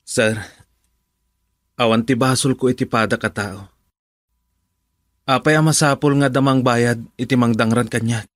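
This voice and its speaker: A man's voice: mid-range voice, unhurried, long pauses, no room sound, studio quality, natural voice. A man acting a humble, respectful young working man in a radio drama, plain-spoken with an Ilocano Filipino accent. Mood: earnest, reassuring.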